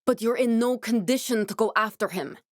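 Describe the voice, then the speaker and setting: An actress playing an earnest, guarded woman scientist in a video game, speaking in a measured, serious, explanatory way with naturalistic delivery. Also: on the high side, no echo, studio quality, lightly acted. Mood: urgent, cautioning. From born in Norway, raised in Glasgow (character).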